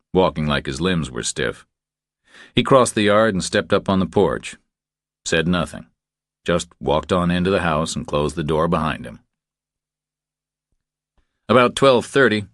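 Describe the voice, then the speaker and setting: A man reading scripted thriller fiction as an audiobook narrator, in a measured, deliberate storytelling manner. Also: very deep voice, a bit bright, no echo, clean studio sound.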